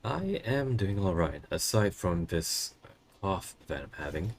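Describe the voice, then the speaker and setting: Male streamer, very low and coarse, hoarse from a cough, friendly chat with viewers. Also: sing-song, measured pace. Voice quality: coarse, strained voice. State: hoarse, losing his voice from a cough. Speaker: game streamer.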